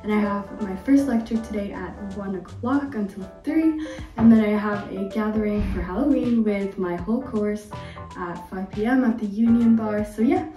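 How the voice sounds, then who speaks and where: A woman's voice: medium pitch, normal speed, warm tone, low-quality recording. A young woman student chatting casually through her day, upbeat and chatty, her throat slightly sore from a recent cold. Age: young adult. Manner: chatty, upbeat, lots of "so yeah" and "like". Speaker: student vlogger. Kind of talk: casual day-in-the-life vlog. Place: home room with blinds and a bathroom. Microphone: phone or camera at arm's length. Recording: music playing underneath.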